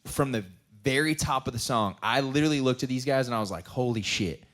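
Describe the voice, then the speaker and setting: A man's voice: mid-range voice, brisk, fairly bright tone. A young man hosting a music podcast, effusive and enthusiastic, talking in casual slang. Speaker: host. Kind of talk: casual chat.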